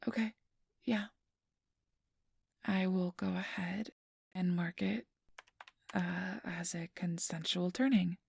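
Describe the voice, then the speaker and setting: A woman playing a kindly, apologetic registration clerk in an ASMR roleplay, speaking softly and often in a whisper with a gentle, reassuring manner. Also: fairly low pitch, steady tone, slow, long pauses, dry recording, clean studio sound, exaggerated voice. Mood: gentle, careful. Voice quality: soft, breathy, often whispered. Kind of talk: ASMR roleplay, soft whispery speech to the listener.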